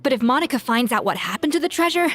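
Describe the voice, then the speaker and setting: An actress playing a sharp, determined young investigator who talks in a brisk, analytical way. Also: female voice, high-pitched, lively intonation, soft background music. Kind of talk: video game voice acting.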